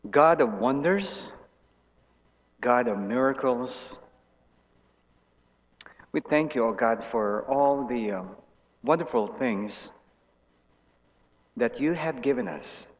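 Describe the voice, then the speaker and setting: A middle-aged pastor prays aloud very slowly, in short, solemn phrases. His man's voice sits at an average pitch. It rings with long church reverb and sounds slightly muffled. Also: animated. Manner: measured, reverent, pausing between short phrases. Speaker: pastor leading prayer. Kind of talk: solemn congregational prayer. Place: large church sanctuary, indoors.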